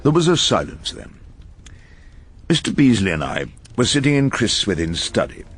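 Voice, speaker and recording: A man's voice: medium pitch, big pitch swings, moderate pace, husky. An actor playing an irascible, witty elderly English barrister in a radio drama, pompous and ornate in his phrasing as he talks and narrates. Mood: wry, measured. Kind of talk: radio drama acting and wry first-person narration.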